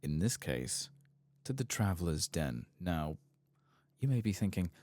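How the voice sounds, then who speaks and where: A man giving casual, rambling, wry and sweary soft-spoken ASMR commentary on a game, close to the mic. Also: low voice, big pitch swings, measured pace. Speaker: host of a game playthrough channel.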